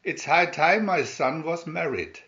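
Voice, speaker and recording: Man acting out a loud, blustering storybook king. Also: on the high side, expressive, unhurried, muffled recording. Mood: impatient. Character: blustering, impatient old king, loud and commanding.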